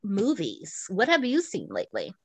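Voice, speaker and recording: A woman's voice: mid-range voice, wildly swooping pitch, normal speed, vocal fry, husky, no echo. A woman chatting casually and enthusiastically as a podcast co-host, recommending things she enjoys. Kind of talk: casual chat, recommending books.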